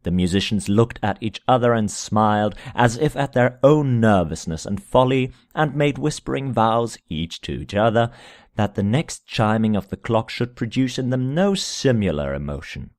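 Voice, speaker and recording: A man with a fairly low voice narrates a gothic tale in a dry, close sound. His delivery is measured and theatrical, with solemn weight and hushed drops. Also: no room sound. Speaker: amateur reader of a short story. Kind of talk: dramatic scripted narration of a gothic horror tale.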